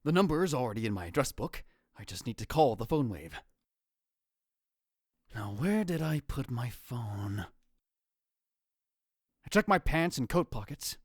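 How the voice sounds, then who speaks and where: A man's voice: mid-range voice, a little slow, pauses often, dry recording, clean studio sound. A man voicing a dramatic young self-proclaimed mad scientist in a visual novel fan dub, theatrical and grandiose in manner. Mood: musing, narrating. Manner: theatrical, grandiose, swinging into excited exclamations. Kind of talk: visual novel fan dub, first-person narration and dialogue. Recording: music behind the voice. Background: music.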